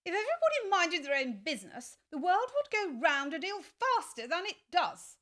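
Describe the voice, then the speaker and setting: An actress playing a bad-tempered noble Duchess who talks bluntly and rudely, with sudden violent outbursts. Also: female voice, very high voice, sing-song, moderate pace, fairly bright tone, heavily acted. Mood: curt rude. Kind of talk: audiobook drama acting.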